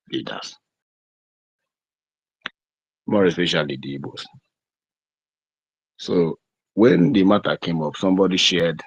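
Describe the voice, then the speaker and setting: A Nigerian man giving a deliberate, emphatic political address as an activist speaker, repeating phrases over an online audio call. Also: extremely low pitch, breathy edge, no room sound. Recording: dry, dull lo-fi internet call audio.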